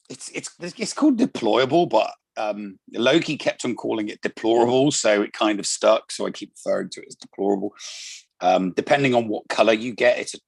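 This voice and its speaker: A man with a gravelly, husky voice and a slightly low pitch chats casually as a panelist. He hesitates often and restarts his sentences. The sound is dry and close. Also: no echo, low-quality recording. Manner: hesitant, many fillers and restarts. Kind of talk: casual panel chat on a live stream.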